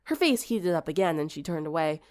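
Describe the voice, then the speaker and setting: A woman's voice: low voice, animated, brisk, darkish tone. A woman reading scripted fiction aloud as an audiobook narrator.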